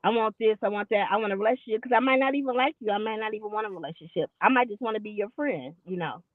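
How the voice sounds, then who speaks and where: A woman's voice: medium pitch, very fast, dry recording, phone-quality sound. A woman in her late forties chatting candidly and loosely in a casual talk, self-deprecating and rambling as she explains herself. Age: 40s. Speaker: podcast guest. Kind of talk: casual chat.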